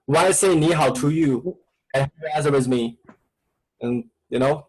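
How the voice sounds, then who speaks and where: A man's voice: medium pitch, normal speed, darkish tone, husky, low-quality recording. A man with a Chinese accent, a native Chinese speaker teaching an online class and coaxing students with repetitive prompts in broken English.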